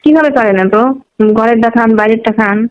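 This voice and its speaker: A woman's voice: on the high side, brisk, background noise. A woman with a Bangladeshi accent chats casually and intimately on a phone call.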